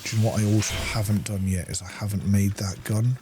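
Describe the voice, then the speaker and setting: A man giving casual playthrough commentary as a gaming host, thinking aloud in an unhurried way as he plays. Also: fairly low pitch, fairly even intonation, noisy background.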